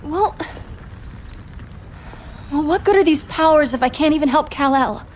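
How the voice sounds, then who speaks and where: Eager young superheroine. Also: female voice, high voice, animated, unhurried, slight background noise. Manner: earnest, restless and defiant. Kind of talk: game cutscene voice acting.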